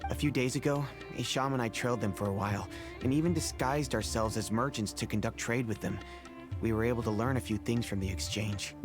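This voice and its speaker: An earnest male mercenary scout from a fantasy game , played by a man. He has a mid-pitched voice and reports in a measured, level-headed, explanatory way. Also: music in the background, close to an everyday voice. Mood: grave.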